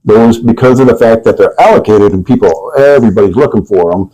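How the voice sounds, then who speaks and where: A man's voice: lowish voice, fairly quick, dark tone, poor audio. A man from Lithuania, a sommelier guest, explaining things in casual conversation.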